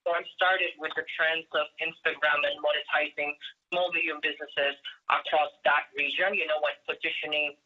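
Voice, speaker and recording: A man's voice: a little high, flat delivery, normal speed, husky. A man from Guatemala giving an earnest, thankful webinar presentation, often restarting and repeating words. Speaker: agency partner presenting in a webinar. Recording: muffled lo-fi audio.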